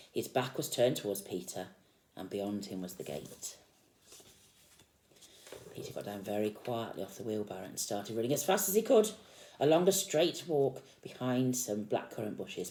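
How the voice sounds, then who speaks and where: Woman, very deep voice, teacher-style storybook reader for young children, expressive delivery, roomy echoey sound. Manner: expressive, explaining gently to children. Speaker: teacher reading a picture book to young children. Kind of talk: animated storybook read-aloud with explanatory asides.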